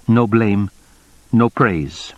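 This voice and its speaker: A formal, measured official with a precise, deliberate way of speaking, as a man puts him on. The voice sits noticeably higher than the reader's own low register, courteous and controlled. It is recorded close and dry, like an audiobook. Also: dry recording, lo-fi sound. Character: formal, measured middle-aged male Japanese official.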